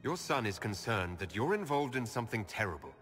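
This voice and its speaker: A man voicing a male elf Inquisition leader, calm and direct, in game audio with hall reverb and score. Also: medium pitch, a little fast, soft background music. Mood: firm.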